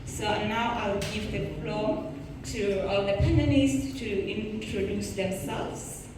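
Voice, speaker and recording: A woman's voice: medium pitch, slow pace. A young woman from Botswana moderating a panel in a formal way. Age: young adult. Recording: very echoey hall, distant mic, noisy.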